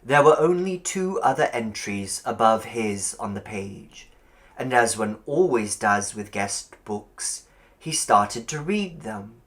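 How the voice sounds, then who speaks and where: A man narrating a short story as an audiobook, with a measured, dramatic storytelling delivery. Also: lowish voice, expressive, fairly bright tone. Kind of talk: expressive scripted story narration.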